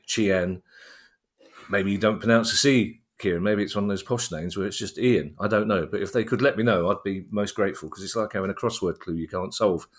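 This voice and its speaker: A man hosting a podcast in casual chat, with a wry, wordy, self-deprecating manner. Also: sits low. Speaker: podcast host.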